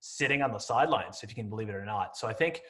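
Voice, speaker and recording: A man's voice: on the low side, lively intonation, quick pace, fairly bright tone. A man in his late thirties answering as a podcast guest in a conversational, explanatory way with some hedging. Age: late 30s. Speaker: podcast guest, company CEO.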